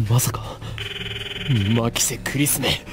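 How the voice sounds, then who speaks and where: An actor playing a grandiose, paranoid young mad scientist in a visual novel game, with pompous, melodramatic delivery. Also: male voice, mid-range voice, fairly even intonation, a little slow, music in the background, lightly acted. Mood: outraged. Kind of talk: theatrical Japanese visual novel acting.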